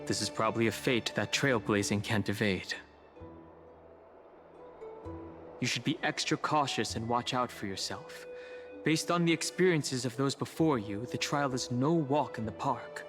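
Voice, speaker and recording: An actor playing a cautious, loyal young traveling companion in a video game, speaking in a measured, thoughtful way. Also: male voice, mid-range voice, close to an everyday voice. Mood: concerned. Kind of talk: video game voice acting, conversational dialogue. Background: background music.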